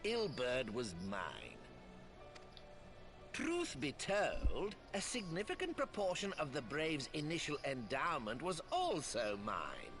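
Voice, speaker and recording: An actor voicing a smug, scheming wealthy merchant lord in a video game, with pompous, mock-courteous, drawn-out delivery. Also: male voice, on the high side, huge pitch swings, full character voice. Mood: smug, sly. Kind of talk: video game cutscene acting. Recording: game audio with music.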